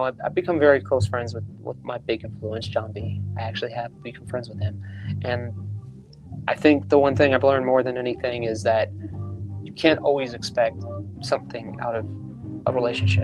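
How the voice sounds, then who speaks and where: A male singer being interviewed in a recording studio, talking in a relaxed, rambling way with lots of fillers. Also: mid-range voice, moderate pace, poor audio. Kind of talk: casual interview chat. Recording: studio talk with music underneath.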